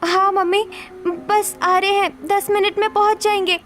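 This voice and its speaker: Lady doing fed up young daughter in a cartoon, high voice, pleady. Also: flat delivery, measured pace, a bit bright, a little hiss or hum. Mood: hesitant. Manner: pleading, indignant. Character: aggrieved, rebellious young adult daughter.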